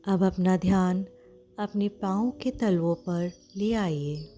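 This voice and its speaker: A woman with an Indian accent leads a guided meditation in a mellow, relaxed voice. She speaks very slowly and gently, pausing often between short phrases. Music plays behind her slightly low-pitched, soothing delivery. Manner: soothing, gentle, with frequent pauses.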